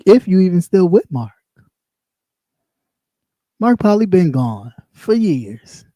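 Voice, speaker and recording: A man's voice: sits high, big pitch swings, slow pace, warm tone, no room sound. A man with a light voice, ranting bluntly and casually as a livestream host, repeating himself as he goes. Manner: blunt and sassy, talks in loops and repeats himself. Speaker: live stream host; former radio host.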